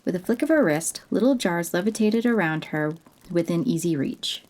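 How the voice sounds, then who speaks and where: A woman narrating a fantasy story in a gentle, unhurried way. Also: lowish voice, animated. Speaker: audiobook narrator.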